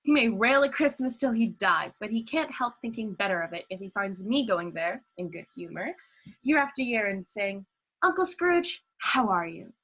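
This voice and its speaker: A woman's voice: mid-range voice, lively intonation, normal speed, a bit bright, breathy edge, rough recording. A young woman acting a cheerful, forgiving relative of a miser in a radio play. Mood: good-humored, forgiving.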